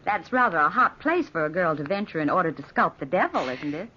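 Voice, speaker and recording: Lively, sardonic young sculptress. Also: female voice, fairly low pitch, fairly quick, slight background noise. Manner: flippant, wisecracking. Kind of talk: old-time radio drama acting. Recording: dull, lo-fi old radio recording.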